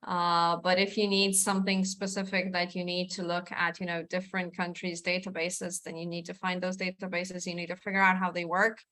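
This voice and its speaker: A woman's voice: on the low side, flat delivery, a little fast, few pauses, sharp tone. A woman speaking as a panelist in an academic discussion, chatty and self-deprecating, with many fillers.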